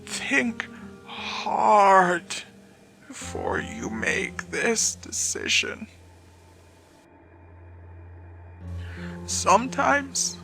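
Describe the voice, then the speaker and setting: Woman acting weary condemned woman of horror fiction, very slow halting delivery, creaky, resigned, dramatic pauses, music behind. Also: fairly low pitch, a bit bright, pitched below the performer's own voice, full character voice. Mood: grave warning. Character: weary, cowardly damned woman resigned to a hellish afterlife. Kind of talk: horror story character acting.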